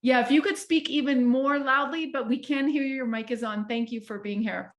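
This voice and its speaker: A woman's voice: sits high, fairly even intonation, a little fast. A woman hosting a live online healing session, talking in a chatty way with many fillers and restarts. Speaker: host. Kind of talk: casual chat.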